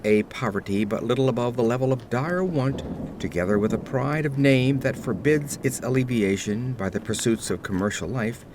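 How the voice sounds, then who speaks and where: Man acting aged aristocrat, melancholy, formal literary storytelling, gothic audio drama, expressive intonation, faint atmospheric background. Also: mid-range voice, background noise, lightly acted. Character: melancholy aged French nobleman recounting his accursed life. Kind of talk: scripted gothic horror narration.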